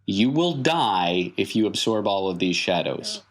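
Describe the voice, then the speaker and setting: A man playing a cryptic, stern clown mentor in a tabletop game, speaking solemnly in emphatic, measured statements. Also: lowish voice, animated. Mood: solemn, instructing.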